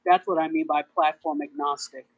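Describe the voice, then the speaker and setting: Gravelly-voiced guest explaining patiently. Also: medium pitch, flat delivery, brisk, no echo, lo-fi sound. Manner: explains patiently with examples, light laughs. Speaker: guest speaker. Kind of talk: casual explanation on a panel.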